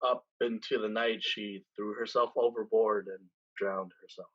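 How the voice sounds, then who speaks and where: A man plays a seasoned pirate captain with a husky voice, heard on a slightly muffled recording. He speaks solemnly and deliberately, leaving weighty pauses as in a stage drama, weary of command yet tender. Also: on the low side, steady tone, close to an everyday voice. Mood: rueful storytelling. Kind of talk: staged reading of a stage play.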